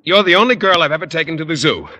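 An actor playing a bashful, earnest American biology teacher who talks hesitantly and stammers, in 1950s radio sitcom style. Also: male voice, a little high, animated, very fast, bright, forceful tone, long reverb tail.

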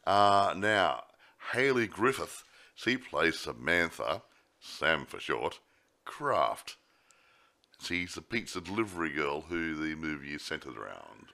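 Man, very deep voice, bright tone, slightly croaky, jokey casual film chat. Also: sing-song, takes it slowly. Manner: chatty, jokey, lots of yeah fillers. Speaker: podcast co-host.

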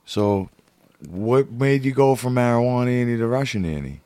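A man's voice: medium pitch, moderate pace, clear, resonant tone, dry recording, studio quality. A man hosting a podcast, talking in a blunt, casual way full of swearing. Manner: blunt, swears a lot, animated. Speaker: podcast host. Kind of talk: casual profane chat.

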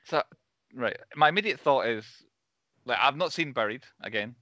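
A Scottish man hosting a podcast in casual chat, talking quickly and laughing often. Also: mid-range voice, expressive, bright, forceful tone, dry recording, lo-fi sound. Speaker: podcast host.

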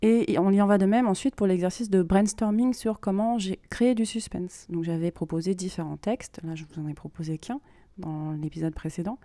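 A woman hosting a podcast, explaining things informally in a monologue and pausing as she thinks aloud. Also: mid-range voice, dark tone, dry recording. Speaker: podcast host and creative writing workshop leader.

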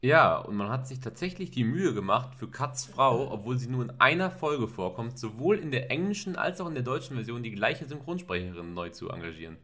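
Bright-voiced male podcast co-host. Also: medium pitch, animated, brisk, few pauses. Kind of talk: casual episode discussion.

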